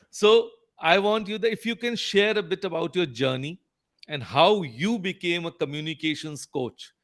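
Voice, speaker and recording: A man's voice: high voice, unhurried, a bit bright. Polite man hosting a podcast. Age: 50s. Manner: polite, courteous interviewer. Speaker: podcast host and counselor.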